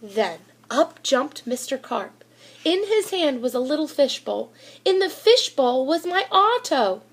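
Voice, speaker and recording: A woman reads a storybook aloud for children. Her voice sits high and moves up and down animatedly. She takes her time with each phrase, giving the narration a very expressive shape. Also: measured pace.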